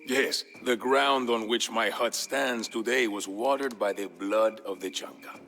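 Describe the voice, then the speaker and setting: A man's voice: fairly low pitch, a little slow, a bit bright. Man acting boastful old village storyteller, music behind. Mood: grave. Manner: boastful, grandiose.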